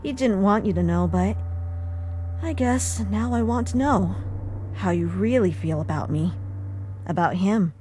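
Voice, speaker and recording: A woman voicing a quiet, troubled child in a fan comic dub, speaking hesitantly. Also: medium pitch, a little slow, pauses often, slight background noise, natural voice. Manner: hesitant and subdued.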